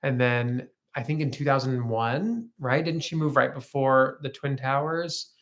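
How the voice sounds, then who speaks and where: Gravelly-voiced chatty man in his thirties. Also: mid-range voice, unhurried. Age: 30s. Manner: chatty and upbeat, laughs easily. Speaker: co-host of a live chat stream. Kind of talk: casual chat, reading viewer comments. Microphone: phone front camera.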